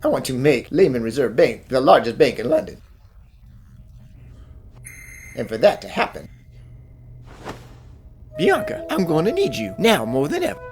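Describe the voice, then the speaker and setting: A woman voicing a brisk, self-assured bank executive in a cartoon fan dub, with a businesslike yet theatrical delivery. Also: a bass voice, big pitch swings, a little mellow, faint music behind. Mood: firm, persuasive. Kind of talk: animated mystery fan dub acting.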